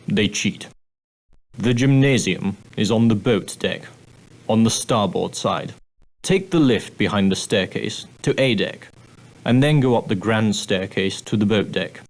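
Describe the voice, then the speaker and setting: An actor playing a straight-laced, proper ocean-liner steward in a video game, speaking formally and deferentially with precise, politely dry phrasing. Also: male voice, lowish voice, unhurried, poor audio. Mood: discreet, formal. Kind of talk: scripted game dialogue, giving guidance.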